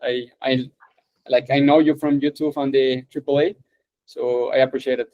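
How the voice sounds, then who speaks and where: Colombian guy on a video call, spanish is his first language, kinda flat and hesitant, lots of likes. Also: mid-range voice, normal speed, muffled recording. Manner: hesitant, many filler words. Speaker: course student.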